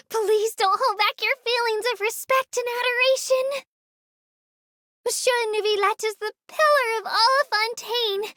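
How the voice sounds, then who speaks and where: A woman's voice: very high voice, pushed and bright, no room sound, clean studio sound, full character voice. A woman voicing a small, girlish creature tour guide in a game, earnest, polite and a little hesitant as she gives her commentary. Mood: adoring. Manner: polite, earnest, slightly hesitant, self-effacing.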